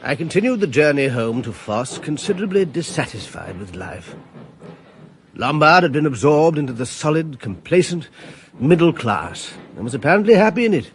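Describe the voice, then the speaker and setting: A man's voice: fairly high pitch, big pitch swings, moderate pace, a little hiss or hum. An actor narrating a radio drama as a reflective middle-aged adventurer looking back on his life. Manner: wry, reflective.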